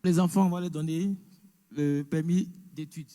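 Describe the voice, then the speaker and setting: A man presents an information session in a large, echoing hall. His voice sits a little high but sounds mellow. He explains each point step by step and drops English words into his French. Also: fairly even intonation, moderate pace. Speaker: immigration presenter. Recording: echoey hall.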